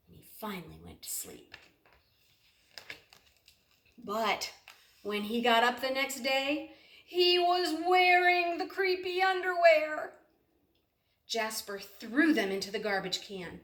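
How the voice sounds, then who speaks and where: Echoey woman reading a children's storybook, slow and dramatic. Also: a little high, far from the mic. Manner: expressive, dramatic, with drawn-out words and questions to the listener. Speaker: storybook reader. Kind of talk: children's picture-book read-aloud with asides to young listeners. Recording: roomy echo, off the mic.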